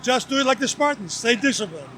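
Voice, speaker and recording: Greek-accented man, very high voice, earnest vendor pitch, faint traffic outdoors. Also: animated, fast, sharp tone. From Greece. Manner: earnest sales pitch, lists products. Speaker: coffee vendor. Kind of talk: outdoor event interview. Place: outdoor race event.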